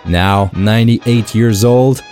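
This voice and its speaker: French-accented male podcast host, 30s, lowish mellow voice, earnest read over soft music. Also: slow. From France. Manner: earnest, enthusiastic. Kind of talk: scripted podcast introduction.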